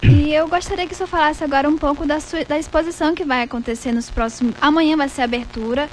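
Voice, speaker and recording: A woman's voice: high-pitched, a little hiss or hum, poor audio. A woman with a Brazilian Portuguese accent hosting a radio studio interview and asking polite, formal questions. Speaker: radio host.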